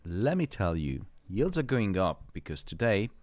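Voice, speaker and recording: A man's voice: deep voice, big pitch swings, normal speed, no room sound. A man hosting a live trading stream, chatting casually, swearing freely and explaining the market at length.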